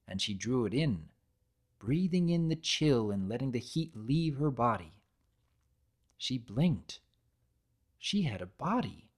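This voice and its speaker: A man reading a story aloud as narrator, in an expressive, measured storybook style. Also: medium pitch. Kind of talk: dramatic reading of fan fiction, scripted storybook narration.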